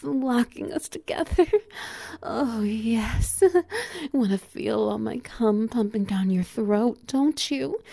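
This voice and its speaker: A woman in an intimate audio roleplay, speaking in a slow, teasing, commanding way that often drops into whispers. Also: a little high, warm tone, no room sound, clean studio sound. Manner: breathy little laughs between lines. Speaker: erotic audio roleplay performer.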